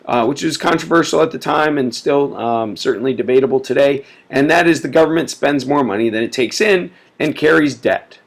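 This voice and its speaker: A man giving a casual recorded history lecture, full of filler words, sick and sniffly. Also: medium pitch. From United States. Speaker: teacher.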